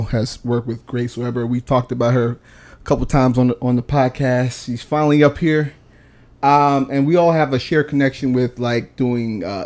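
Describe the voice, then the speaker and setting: A man hosting a relaxed podcast, talking loosely and piling on fillers as he works through an introduction. His voice sits at an ordinary middle pitch for a man. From Virginia, USA. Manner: rambling, filler-heavy intros. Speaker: podcast host. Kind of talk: casual chat.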